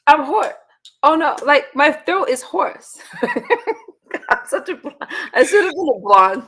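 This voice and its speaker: A hoarse woman hosting a casual livestream, rambling and talking straight to her chat. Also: high voice, sing-song, takes it slowly, a bit bright. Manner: rambling, talks to the chat directly. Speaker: livestream host.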